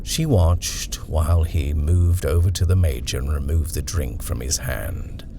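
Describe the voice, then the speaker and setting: Male narrator for a horror audiobook, measured and expressive, unhurried. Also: a bass voice, vocal fry, background noise. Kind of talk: audiobook horror story reading.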